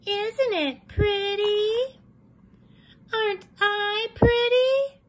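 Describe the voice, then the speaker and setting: A woman's voice: extremely high pitch, steady tone, very slow, voice pushed up, heavily acted, rough recording. A woman voicing a vain, sassy little girl who preens and admires herself in a storybook read-aloud. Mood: preening. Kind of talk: children's picture book read-aloud.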